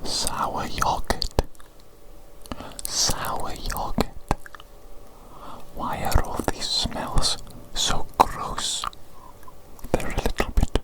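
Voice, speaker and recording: A woman whispering an ASMR medical roleplay as a fussy doctor, softly repeating her phrases in a breathy, unvoiced voice. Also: very slow, pauses often, rough recording. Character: fussy evil doctor examining a creature. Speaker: ASMR performer playing a doctor.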